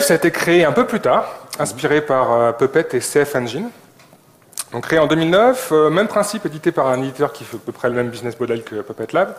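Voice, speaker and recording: A middle-aged man giving an informal technical conference talk in hesitant, piecemeal phrases. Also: mid-range voice. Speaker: conference speaker. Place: indoor conference venue.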